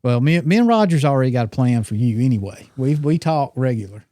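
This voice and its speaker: A man's voice: medium pitch, expressive, a little fast, mellow, dry recording. A man around sixty, co-hosting a hunting podcast in casual, rambling, teasing chat. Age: 60s. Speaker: podcast co-host.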